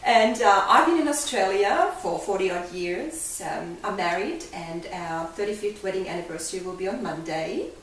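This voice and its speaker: A woman's voice: medium pitch, lively intonation, moderate pace, hardly any pauses, fairly bright tone. An older woman with a Hungarian accent, speaking earnestly as a life coach guest in an interview. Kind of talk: candid interview. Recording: echoey room, mic far off, faint hiss.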